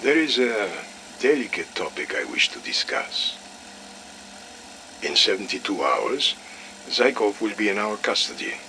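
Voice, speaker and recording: An actor playing a stern, menacing military colonel, clipped and commanding, in a video game audio log. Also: male voice, on the low side, sing-song, unhurried, long pauses, sharp tone, slight background noise, full character voice, rough recording. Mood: measured, probing.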